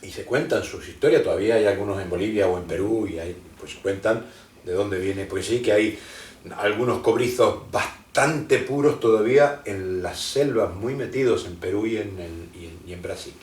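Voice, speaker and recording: A man's voice: lowish voice, moderate pace, a little mellow. A man from Argentina, a guest in an interview who explains his ideas at length and often hesitates and restarts his sentences. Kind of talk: conversational interview, lecturing. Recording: roomy sound from a distant mic.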